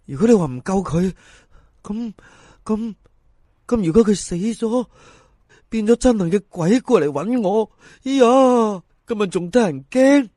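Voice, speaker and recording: A man's voice: sits high, expressive, a little slow, dark tone, dry recording, clean studio sound, voice pushed up. A man voicing a plain-spoken, superstitious river boatman from a folk tale, with a Hong Kong Cantonese accent and colloquial speech. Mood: panicked, stammering. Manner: colloquial, stammering when scared.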